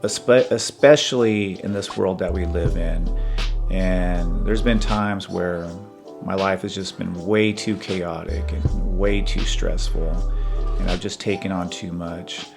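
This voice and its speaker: A man in his fifties hosting a podcast, talking earnestly and in a measured, conversational way about his own life. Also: sits low, steady tone, vocal fry, over music. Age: 50s. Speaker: podcast host. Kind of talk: personal reflective monologue.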